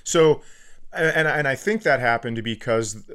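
A man's voice: mid-range voice, expressive, a little slow, fairly bright tone, clear, resonant tone. Man riffing in casual comic banter. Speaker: comedy podcast co-host.

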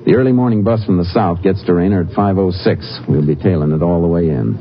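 An actor playing a calm, determined Texas Ranger in a 1950s western radio drama, with an American accent and a clipped, matter-of-fact way of talking. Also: male voice, low-pitched, quick pace. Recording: muffled old 1950s radio recording.

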